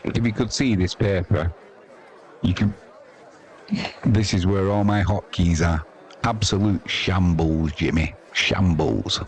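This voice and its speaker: A man's voice: sits low, slow pace, pauses often. A man giving casual, chatty live cricket commentary in an informal, self-deprecating way.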